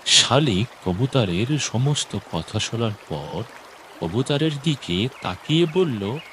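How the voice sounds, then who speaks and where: A man's voice: medium pitch, sing-song, slow pace, background noise. A man narrating a scripted children's story as a storyteller.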